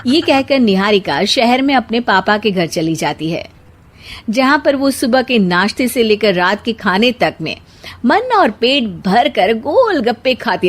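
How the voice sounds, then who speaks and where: Woman narrating a cartoon story. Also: mid-range voice.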